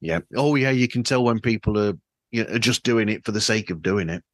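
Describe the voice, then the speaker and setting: A man's voice: fairly low pitch, brisk, no echo. A man hosting a podcast, asking questions in a casual interview style through a flawed microphone. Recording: flawed microphone sound, dry.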